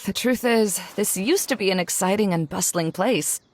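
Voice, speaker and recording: A woman voicing a brisk, blunt, formal young scholar in a video game, matter-of-fact in manner. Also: medium pitch, slight background noise, lightly acted. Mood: troubled. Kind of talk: video game cutscene acting. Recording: background music behind the voice.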